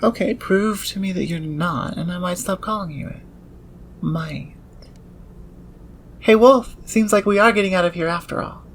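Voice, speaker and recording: A woman's voice: fairly low pitch, moderate pace, long pauses, a little hiss or hum, close to an everyday voice, poor audio. A woman playing an eager, teasing thief inventor who dotes on gems and machines in an ASMR audio roleplay. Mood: teasing, brisk. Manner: doting, murmuring to gadgets and gemstones.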